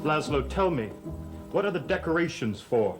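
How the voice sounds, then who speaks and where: An actor playing a bitter, high-strung young stepson in a 1970s TV soap opera, sarcastic and resentful. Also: male voice, expressive, moderate pace, music in the background, close to an everyday voice.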